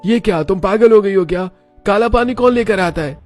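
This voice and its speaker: Man acting an irritable high-voiced cartoon husband. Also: brisk, darkish tone, soft background music, close to an everyday voice. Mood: annoyed. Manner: demanding, exclaiming. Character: irritable demanding young husband in a family cartoon.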